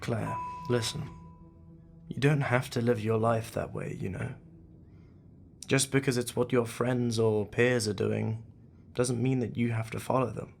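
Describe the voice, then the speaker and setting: An actor in an audio drama playing a commanding, wry leader of secret investigators who is gruffly kind, speaking in a measured, authoritative way. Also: male voice, mid-range voice, level tone, darkish tone, soft background music. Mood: gently earnest.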